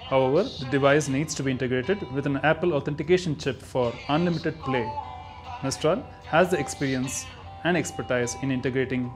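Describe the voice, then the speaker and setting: Male narrator for a scripted tech demo, higher-set voice than most, faint music underneath. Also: brisk.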